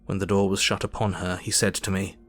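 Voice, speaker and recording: An actor playing a sober Victorian doctor who narrates his diary in an audio drama, measured and formal. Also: low-pitched, flat delivery, a bit bright. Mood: measured, grave.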